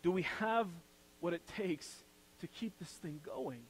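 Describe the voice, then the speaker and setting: A young man delivers a Sunday sermon from the pulpit of a church sanctuary. His voice sits fairly high for a man. He moves between forceful, rising proclamations and quiet, dropped-down phrases. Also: measured pace, a little hiss or hum, poor audio. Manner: builds to loud emphatic peaks, then drops to soft low phrases. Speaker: pastor.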